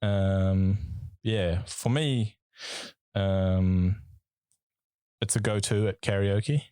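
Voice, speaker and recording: A man's voice: on the low side, steady tone, extremely slow, mellow, clean tone, dry recording, clean studio sound. A man with a New Zealand accent co-hosting a casual music podcast, rambling and swearing with lots of fillers and restarts. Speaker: podcast co-host.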